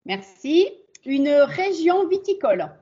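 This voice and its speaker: A woman's voice: high-pitched, sing-song, takes it slowly, warm tone. A woman hosting an interview with short prompts and questions, on dull webinar audio. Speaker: host, interviewer. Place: online video call.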